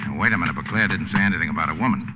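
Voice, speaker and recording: An actor playing a cynical boat captain in a 1940s radio drama, curt and sardonic, with an American accent. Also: male voice, sits low, racing, close to an everyday voice. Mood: curt, wary. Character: hard-boiled, cynical male boat captain. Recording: muffled, hissy old radio recording.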